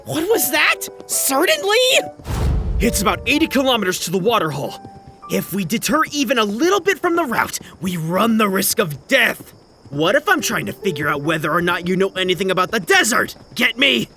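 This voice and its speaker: Young male anime racer acted by a man, high voice, very animated, anxious, questioning, incredulous, dramatic dub style. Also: normal speed, faint music behind, exaggerated voice. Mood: incredulous, suspicious. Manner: excitable, incredulous, swinging from muttering to shouting.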